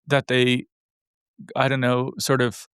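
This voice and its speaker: Rambling male interviewer, smooth clear voice. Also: medium pitch, monotone, takes it slowly, a bit bright, no room sound, clean studio sound. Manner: rambling, hedging questions full of you know and like. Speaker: interviewer, show host. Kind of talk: casual interview conversation.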